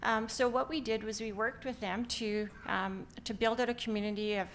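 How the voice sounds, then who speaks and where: A woman's voice: on the high side, fairly even intonation, moderate pace, a bit bright, slight background noise. A middle-aged woman from Canada with a Canadian accent, giving an upbeat conference talk with many filler ums. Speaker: company founder presenting. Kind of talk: conference presentation.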